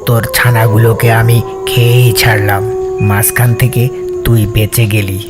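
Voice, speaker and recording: A man playing a wicked, greedy, scheming fox in a cartoon fable, with a menacing, taunting, drawn-out delivery. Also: mid-range voice, flat delivery, loud music behind the voice, lightly acted. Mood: gloating.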